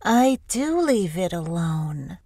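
A woman's voice: lowish voice, big pitch swings, takes it slowly, warm tone, no room sound, clean studio sound, heavily acted. A woman playing a tipsy, sullen young woman who mumbles drowsily in an audiobook drama. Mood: hollow protest. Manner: slurred, mumbling.

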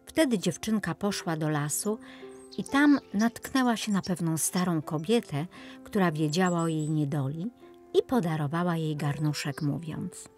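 A woman narrating a scripted children's fairy tale in an expressive, measured storytelling manner. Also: low-pitched, soft background music. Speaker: audiobook narrator.

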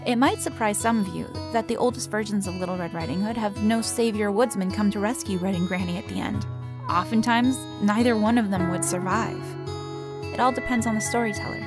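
A woman's voice: mid-range voice, fairly quick. A woman hosting a storytelling show, reading scripted narration. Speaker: host. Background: plucked guitar music.

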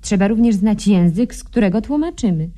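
An actress playing a coolly deadpan, mock-tender wife who bickers absurdly with her husband in a radio comedy sketch. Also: mid-range voice, fairly quick, close to an everyday voice. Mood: argumentative, pedantic.

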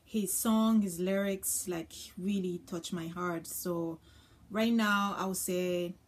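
A woman's voice: fairly low pitch, steady tone, slow. A young woman from Congo, chatting casually to camera with many ums and uhs. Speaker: vlogger. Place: small indoor room with floral curtain.

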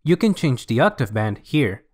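Man, software tutorial narration, scripted, medium pitch, expressive intonation, instructional phrasing. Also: moderate pace.

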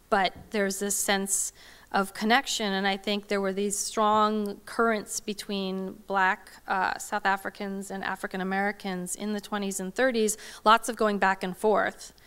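A middle-aged woman answers questions from a podium in a large hall. Her voice is smooth and clear, with a flat, level pitch. She talks hesitantly, full of fillers and restarts. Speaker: lecturer answering audience questions. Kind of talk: academic Q&A talk. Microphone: podium microphone.

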